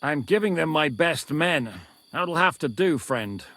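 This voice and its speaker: An actor playing a stern, foul-mouthed medieval commander in a video game, gruff and used to giving orders. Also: male voice, a little high, expressive, unhurried, pushed and bright, full character voice. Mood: commanding. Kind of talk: dramatic video game acting.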